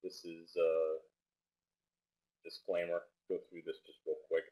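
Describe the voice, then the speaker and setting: A man presenting a live online trading webinar in a loose, casual way, with many fillers and restarts, speaking with a loud voice into a microphone set well back. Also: low-pitched, monotone, a little slow, low-quality recording. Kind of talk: casual live webinar talk with screen share. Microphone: desk microphone set far back.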